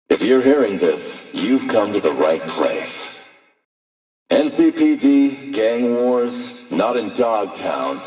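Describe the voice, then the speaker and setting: An actor playing a hard, menacing warlord of a lawless district in a video game, addressing residents over a public-address system in a commanding, deliberate, threatening way. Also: male voice, fairly low pitch, a little mellow. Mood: confident, coaxing menace. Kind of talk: scripted game voice acting, public announcements. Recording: echoing public-address announcement, distant, reverberant.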